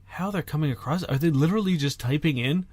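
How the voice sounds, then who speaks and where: A man's voice: on the high side, fast talker, darkish tone, husky, no room sound. A man co-hosting a casual podcast, groggy on cold medicine and tossing out scattered quips. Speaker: podcast co-host. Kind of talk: casual banter.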